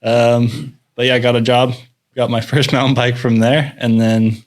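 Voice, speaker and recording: Easygoing young American man, mid pitch. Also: little pitch movement, moderate pace. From Salt Lake City, Utah, USA. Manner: easygoing, chuckles often. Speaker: guest, bike mechanic. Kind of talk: casual podcast interview.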